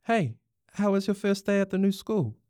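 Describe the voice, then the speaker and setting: A man plays a caring dad in a children's story, with a New Zealand accent. He talks gently and reassuringly in a smooth voice, slightly raised, recorded dry. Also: on the high side, lively intonation, normal speed, no echo, pitched above the performer's own voice. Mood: friendly. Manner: soft, reassuring. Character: gentle, caring father of a young girl.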